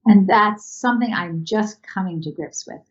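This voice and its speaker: A woman giving reflective, halting interview answers as an artist, recorded over an internet video call. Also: medium pitch, highs cut off.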